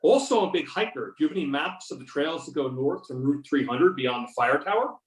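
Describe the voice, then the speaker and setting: A man's voice: on the high side, lively intonation, a little fast, close to an everyday voice. A man playing a determined investigator in readers theater, matter-of-fact and commanding, over a remote call. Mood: polite, inquisitive. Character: Beckett, determined male investigator giving orders. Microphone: remote videoconference or phone call. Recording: roomy, distant mic on conference call.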